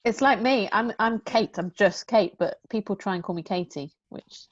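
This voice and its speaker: A woman's voice: mid-range voice, normal speed, a crowd in the background. A woman chatting wryly with fellow cast members on a video call from home, often laughing. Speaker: cast member in a read-through. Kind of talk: casual chat on a video call. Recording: dry, dull lo-fi call audio.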